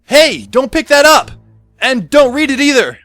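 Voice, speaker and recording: A man's voice: extremely high pitch, very animated, unhurried, bright, forceful tone, no room sound, exaggerated voice. A man playing an exasperated, sardonic teenage schoolboy who narrates an audio drama in a wry, self-questioning, conversational way. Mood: alarmed. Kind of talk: first-person audio drama narration and dialogue. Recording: music behind the voice. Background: background music.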